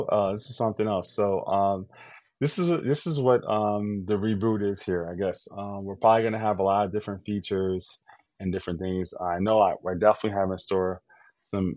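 An upbeat man co-hosting a podcast, talking in a loose, rambling way with lots of "um"s and false starts. His voice sits on the low side. The recording is dull and lo-fi, with a very dry, close sound. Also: a little mellow, dry recording. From US East Coast. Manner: enthusiastic, rambling with many fillers and restarts. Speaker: podcast co-host. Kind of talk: casual podcast chat.

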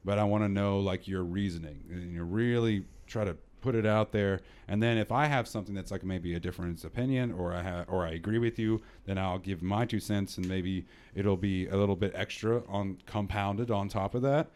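A man's voice: low voice, a little fast, hardly any pauses, darkish tone. A man hosting a casual podcast, talking in a loose, rambling way with frequent restarts. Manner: rambling, laughs often, many restarts. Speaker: podcast host.

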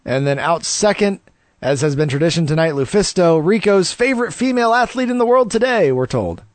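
High-voiced man recapping wrestling. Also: expressive, a little fast, no room sound. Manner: play-by-play recapping, laughs easily. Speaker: podcast co-host. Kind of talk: casual wrestling recap chat.

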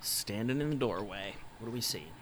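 A man's voice: on the low side, lively intonation, fairly quick, a bit bright. Casual male game player over ambient noise. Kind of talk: casual in-game questioning and banter.

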